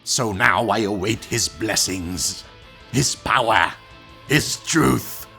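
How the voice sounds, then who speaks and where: A man's voice: lowish voice, slow, vocal fry, pushed and bright, soft background music, full character voice. A man playing a fanatical old villain, grandiose and righteous, preaching pompously in a comic dub. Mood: reverent, fervent. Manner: pompous, preaching, theatrical delivery. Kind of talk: dramatic comic dub acting.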